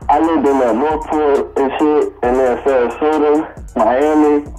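A man's voice: a little high, fairly even intonation, measured pace, dark tone, faint music behind, low-quality recording. A man from Cuba, a rapper interviewed over a call, answering in brief, laid-back, guarded lines full of slang. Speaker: guest rapper. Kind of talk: casual interview.

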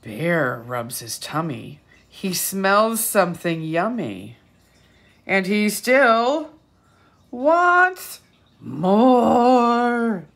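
A woman reading a picture book aloud expressively for young children, with pauses, asides and questions to her listeners. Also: mid-range voice, sing-song, gravelly, a bit bright. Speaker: children's story reader and teacher.

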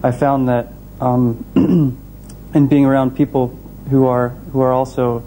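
A man's voice: medium pitch, level tone, takes it slowly, dark tone, a little hiss or hum, poor audio. A man in his thirties speaking sincerely and with some hesitation in a documentary interview.

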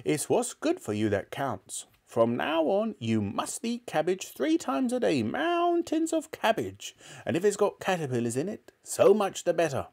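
A man's voice: high-pitched, huge pitch swings, unhurried, vocal fry, hardly any pauses, a little mellow, pitched above the performer's own voice, full character voice. A man voicing a nasty, tiny old grandmother who scolds bossily in a storybook reading. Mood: bossy, snapping. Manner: scolding, snapping, relishing the disgusting.

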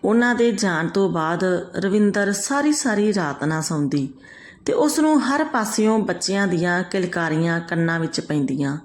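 Woman narrating a story. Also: medium pitch.

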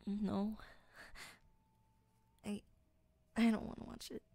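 A young woman voicing a timid, easily scared girl in an audio roleplay, speaking softly and hesitantly, stammering and often whispering. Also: medium pitch, little pitch movement, takes it slowly, no room sound. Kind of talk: audio roleplay addressed to the listener.

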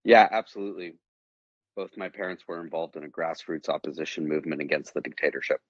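Very deep husky man from Chile. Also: steady tone, fast, no echo, lo-fi sound. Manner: thoughtful, many fillers, repeats words. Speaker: guest, creative director. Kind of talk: casual interview answers.

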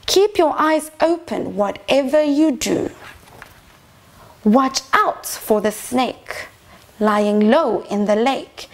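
A young woman teacher reading a picture book aloud to small children, expressively and with prompting questions. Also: high voice. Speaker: infant teacher reading a storybook. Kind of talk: children's story time read-aloud. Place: small decorated indoor reading corner.

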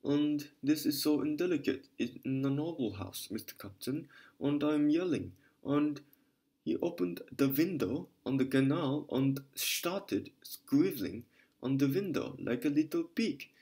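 A man reading aloud voicing a flustered, fawning German landlady who complains in a rapid patter with broken grammar and a strong German accent. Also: fairly high pitch, lively intonation, warm tone. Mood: flustered indignant.

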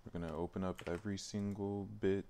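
A man doing casual live stream commentary, thinking aloud in a halting, muttering way while working at a computer. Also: low voice, level tone, dark tone, slight background noise. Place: indoors at a computer.

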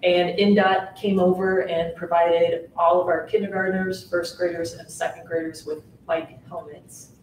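A woman giving the assistant superintendent's report at a school board meeting, in a measured way with some fillers. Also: fairly low pitch, little pitch movement, a little mellow, a little hiss or hum. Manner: measured, reads out figures with fillers. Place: large meeting room with long tables. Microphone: table mic from far off. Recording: echoey boardroom picked up from far off.

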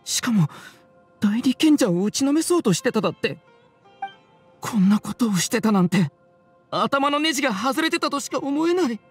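An actor playing an anxious, self-blaming young student in an anime game, with a husky, breathy voice. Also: male voice, very high voice, animated, moderate pace, exaggerated voice. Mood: dismayed. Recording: soft music behind.

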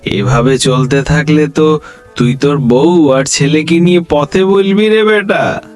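A man's voice: fairly high pitch, slow, smooth, soft background music. A man voicing a boastful, scornful male street food seller in a cartoon, hawking and scolding.

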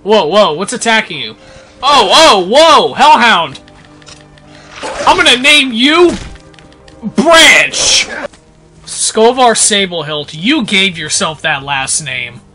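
A man gives casual, jokey gameplay commentary in a high, very bright voice. His pitch leaps around as he exclaims, with game music behind him. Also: slow pace. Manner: excitable, jokey, prone to exclaiming. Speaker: co-host of a video game show.

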